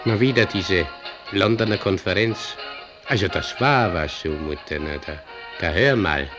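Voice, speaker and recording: An older doorman in a radio drama. He speaks slowly and haltingly, muttering opinionated asides as he reads aloud. Faint music runs behind his voice. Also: deep voice. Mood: absorbed, fussy. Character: brooding, opinionated older doorman and newspaper clipper. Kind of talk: German radio drama acting.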